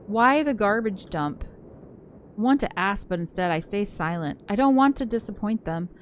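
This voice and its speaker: A woman's voice: medium pitch, lively intonation, normal speed, a little hiss or hum. A woman co-hosting a game playthrough, reading visual novel narration aloud and adding casual commentary. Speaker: let's play co-host, narrator. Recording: music playing behind the voice. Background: game soundtrack music.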